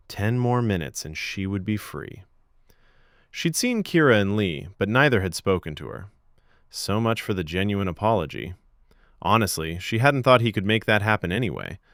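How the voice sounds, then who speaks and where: Male fiction narrator. Lowish pitch, very varied intonation, measured storytelling, dry recording. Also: fairly bright tone, no echo. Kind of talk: scripted audiobook narration.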